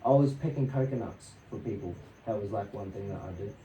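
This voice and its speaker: A man's voice: lowish voice, fairly quick, mellow, highs cut off. A young man from New Zealand and Australia telling casual, rambling stories as a podcast guest in a laid-back, slangy, sweary way. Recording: roomy echo, distant mic, faint noise.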